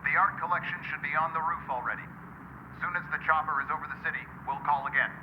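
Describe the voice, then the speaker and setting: A man's voice: fairly high pitch, fast talker. A man playing a formal, businesslike transport dispatcher leaving a phone message in a video game. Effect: phone message filter. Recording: dull lo-fi phone message, noisy.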